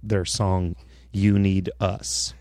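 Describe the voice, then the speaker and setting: A man with a low voice, co-hosting a podcast and rambling through his stories. He often restarts his sentences partway through. His voice sounds dry and close. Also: little pitch movement, very slow, darkish tone, no echo. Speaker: podcast co-host. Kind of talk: casual chat.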